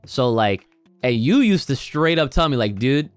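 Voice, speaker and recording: Male co-host, rambling casual chat, animated pitch, dry sound. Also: normal speed, clear, resonant tone, no echo, faint music behind. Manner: rambling, lots of fillers and restarts. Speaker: podcast co-host.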